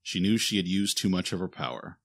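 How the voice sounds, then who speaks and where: Deep gravelly man narrating an audio drama. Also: lively intonation, a little fast, a bit bright. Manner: dramatic, solemn storytelling.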